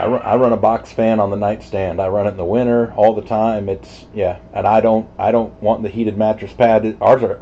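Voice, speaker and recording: A man's voice: fairly low pitch, mellow. A man chatting casually over CB radio in a rambling, easygoing way, with some hesitation. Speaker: CB radio operator chatting on channel. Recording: dull CB radio audio, faint noise.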